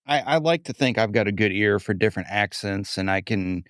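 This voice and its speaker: A man co-hosting a podcast, asking a guest measured, curious questions. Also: lowish voice, expressive, fairly bright tone, no echo, studio quality. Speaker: podcast co-host. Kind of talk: interview questions.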